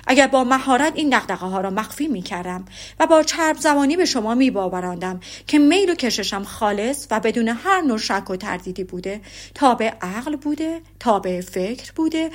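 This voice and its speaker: A woman voicing a proud, stiff young gentleman in an audiobook, speaking loudly, emphatically and formally. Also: a little high.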